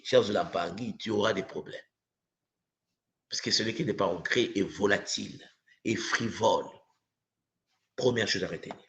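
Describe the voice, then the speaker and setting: A man with a Congolese accent giving an impassioned spiritual lesson, using rhetorical questions and repeating himself for emphasis. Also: fairly low pitch. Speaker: spiritual teacher. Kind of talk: impassioned spiritual teaching to an online audience.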